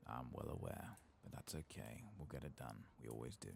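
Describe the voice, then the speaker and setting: Very deep, creaky soft-spoken man. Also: fairly quick, a little hiss or hum. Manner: soft-spoken, murmuring, casual. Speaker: ASMR gaming streamer. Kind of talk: soft-spoken and whispered ASMR game commentary.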